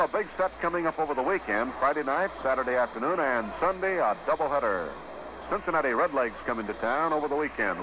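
A man's voice: mid-range voice, highs cut off. Vintage 1950s baseball radio announcer, male, noisy. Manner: measured, clipped phrases with pauses.

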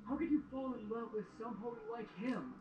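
A man's voice: extremely high pitch, brisk, dull audio. A man voicing an angry, protective older-brother pony in an audio drama, accusatory and confrontational. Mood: accusing. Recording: distant echoey speaker playback with clear noise.

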